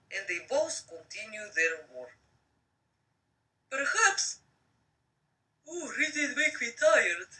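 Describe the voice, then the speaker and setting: Woman reading a bedtime story, super bright voice, slow n dramatic, bit far from the mic. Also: mid-range voice. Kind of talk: live dramatic audiobook-style reading with casual asides. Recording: distant microphone on live stream.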